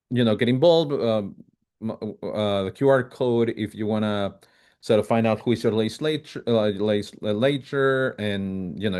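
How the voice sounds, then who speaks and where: A man's voice: medium pitch, darkish tone. A man from Chile, giving a policy presentation over a video call with frequent fillers and restarts. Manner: halting, many fillers and restarts, quick bursts. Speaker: advocacy presenter.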